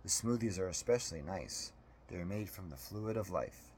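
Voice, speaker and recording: A man's voice: deep voice, lively intonation, normal speed, darkish tone, slight background noise. Man putting on a creepy, coaxing undead little boy. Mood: falsely kind. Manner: coaxing, falsely compassionate. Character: creepy decayed undead little boy selling concession snacks.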